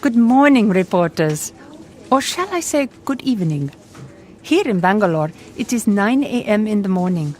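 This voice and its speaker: A woman's voice: mid-range voice, very animated, normal speed, a little mellow, slight background noise, natural voice. A woman playing a polite, earnest young IT worker, speaking formally in a scripted role-play interview. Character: young adult female IT worker in Bangalore.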